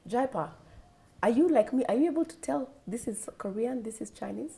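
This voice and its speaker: A woman's voice: fairly high pitch, animated, brisk, vocal fry, dark tone. A woman hosting a talk show, interviewing guests and encouraging them with frequent murmured agreement. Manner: encouraging, frequent mm-hmm backchannels. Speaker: host.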